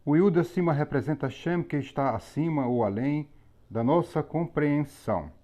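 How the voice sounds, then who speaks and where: A man teaching a religious lesson on Hebrew letters, explaining methodically with pauses and repetition. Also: a little high, dark tone. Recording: slightly dull lo-fi recording.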